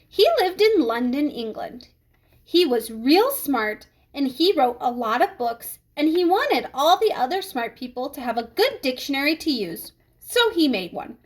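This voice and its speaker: A woman reading a children's book aloud with expressive storytelling phrasing. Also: sits high, measured pace.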